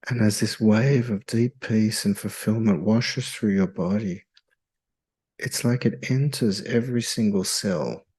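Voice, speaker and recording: Low-pitched man, guided meditation, very slow delivery, soothing, hypnotic, long pauses. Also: a little mellow, husky.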